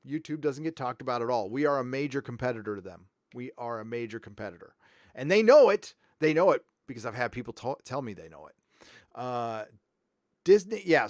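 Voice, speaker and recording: A middle-aged man from Southern California hosting a livestream, chatting casually and reading donations, loud and animated, swinging from mumbles to shouts. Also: mid-range voice, dry recording, clean studio sound. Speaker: livestream host.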